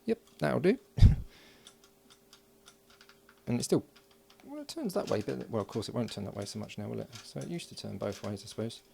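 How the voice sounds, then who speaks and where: A man talking himself through a hands-on electronics project, halting and thinking aloud with frequent restarts. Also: mid-range voice, sing-song, gravelly, warm tone, slight background noise. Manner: halting, muttering, many restarts and fillers. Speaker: electronics hobbyist.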